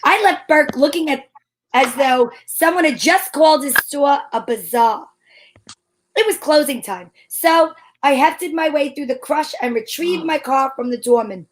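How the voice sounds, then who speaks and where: A woman's voice: high-pitched, a little slow, a little hiss or hum, rough recording. A woman playing a breezy, wisecracking private detective in an amateur old-time radio drama, wry and playful.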